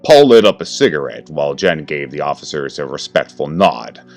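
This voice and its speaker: Very deep-voiced male horror narrator. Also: sing-song, normal speed, creaky, a little mellow. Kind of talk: scripted horror story narration. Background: faint music.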